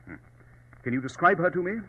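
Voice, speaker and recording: An actor playing Sherlock Holmes, a commanding gentleman detective with a clipped, formal, authoritative manner, in 1940s radio style. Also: on the high side, lively intonation, fairly quick, breathy edge. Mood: cool, probing. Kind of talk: 1940s radio drama acting. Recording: muffled old radio broadcast, music behind.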